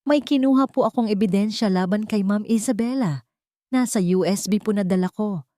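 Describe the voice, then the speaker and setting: A woman's voice: medium pitch, fairly quick, dark tone, no room sound, clean studio sound, pitched above the performer's own voice, close to an everyday voice. A woman voicing a desperate, respectful waitress who pleads her case in an audio romance story. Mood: pleading. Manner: pleading, deferential.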